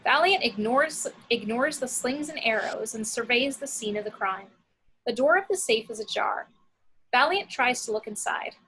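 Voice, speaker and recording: A woman's voice: a little high, animated, moderate pace, bright, forceful tone, a little hiss or hum, low-quality recording. A woman reading the action descriptions of a screenplay as a scripted narrator.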